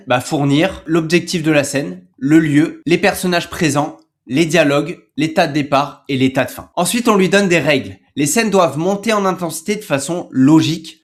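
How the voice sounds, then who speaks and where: A man hosting a tutorial in a casual, explanatory way, talking informally and directly to the viewer. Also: high voice. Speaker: tutorial host.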